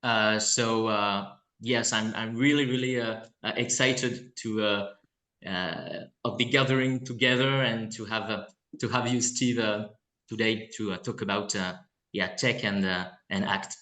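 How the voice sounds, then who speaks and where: A man with a French accent, a native French speaker, co-hosting a video call and talking with many pauses and restarts. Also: on the low side, steady tone, bright, forceful tone. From France. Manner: halting, many hesitations and restarts. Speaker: co-host. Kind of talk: casual video call chat.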